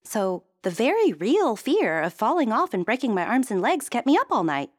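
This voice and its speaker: A woman's voice: on the high side, moderate pace, voice pushed up. A woman voicing a sensible, unimpressed young princess in a storybook, griping and blunt. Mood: incredulous. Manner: flat, griping.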